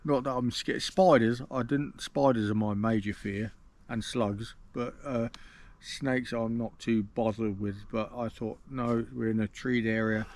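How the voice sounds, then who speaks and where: Man, gravelly voice, English accent, rambling review chat, outdoors in woods. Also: mid-range voice, steady tone, a little mellow. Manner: measured, explains at length with many restarts. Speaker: vlogger host.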